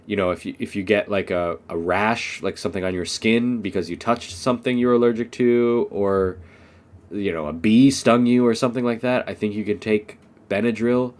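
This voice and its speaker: A young American man speaks in a somewhat low voice, his nose clearly stuffed up from allergies. He talks casually and loosely, with frequent "um"s and "like"s, as the host of an unscripted English lesson. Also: normal speed, darkish tone. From United States. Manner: rambling, many fillers like "um" and "like".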